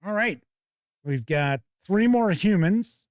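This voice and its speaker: A man's voice: high voice, lively intonation, slow, no room sound. A man hosting a tabletop gaming show, giving chatty, casual live commentary as he looks over products and talks with viewers.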